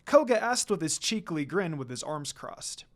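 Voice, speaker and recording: Higher-pitched man narrating with lively swings. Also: normal speed. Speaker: fan fiction narrator. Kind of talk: expressive story narration.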